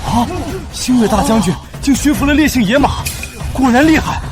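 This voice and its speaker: A man playing an excitable, awestruck onlooker at a martial contest in a costume short drama, commenting in exclamations. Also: very high voice, moderate pace, over music. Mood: amazed.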